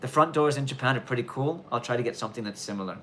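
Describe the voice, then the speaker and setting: A man in his thirties with a blended Australian and London accent, with a trace of Irish twang, talks through viewer questions on a casual live stream. He rambles and corrects himself mid-sentence, yet he works to keep every word clear, in a voice of ordinary pitch. Faint background noise sits under him. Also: a bit bright. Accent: mixed Australian and London, with some Irish twang. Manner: rambling, self-correcting, deliberately clear delivery. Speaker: livestream host answering viewer questions.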